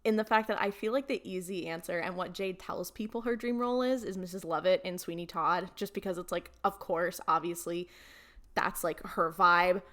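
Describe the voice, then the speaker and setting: A woman's voice: on the low side, normal speed, fairly bright tone. A woman chatting casually as a podcast panelist in an informal, slangy way.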